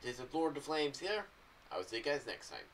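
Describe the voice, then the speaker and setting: Young American man, casual rambling commentary, halting delivery, distant from mic, faint background noise. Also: mid-range voice, very animated. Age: young adult. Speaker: video creator giving theories on an audio drama series. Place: small room indoors. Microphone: boom-arm condenser with pop filter, off to the side.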